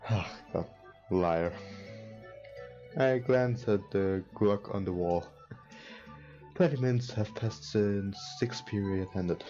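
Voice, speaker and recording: A non-native English-speaking man hosting a let's play, reading game text aloud and commenting casually in a hesitant, halting way. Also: on the low side, dark tone. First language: not English. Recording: dull lo-fi mic, music behind. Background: game music.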